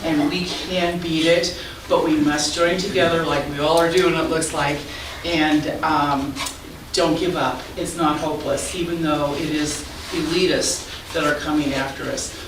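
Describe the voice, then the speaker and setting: A woman from Nebraska making a loud, earnest public comment at a county hearing. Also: low-pitched, fairly even intonation, measured pace. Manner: loud, earnest, urgent appeal. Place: county meeting room. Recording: echoey room, distant mic, noisy.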